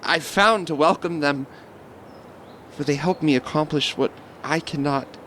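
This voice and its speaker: A man playing a devout, suffering, despairing man in a fan radio play, speaking solemnly and formally in halting phrases. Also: on the high side, fairly bright tone, a little hiss or hum. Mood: solemn resignation. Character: suffering, devout, despairing man praying through long illness.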